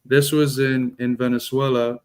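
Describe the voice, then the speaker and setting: A Cuban man with a Cuban accent, a guest on the show, telling a story in a halting way with many restarts. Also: mid-range voice, little pitch movement, a little mellow, smooth. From Cuba. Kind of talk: casual chat.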